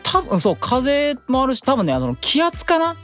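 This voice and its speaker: A man's voice: very high voice, wildly swooping pitch, moderate pace, a bit bright, soft background music. A man chatting casually as a guest on a radio show, agreeable and readily echoing his partner.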